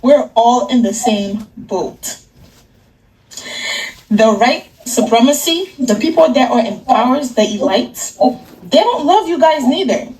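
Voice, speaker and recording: A woman with a fairly high voice speaks in a casual, earnest way to camera. She pauses and repeats herself as she makes her case. The sound is a little distant, as on a home video. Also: lively intonation, darkish tone. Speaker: video maker.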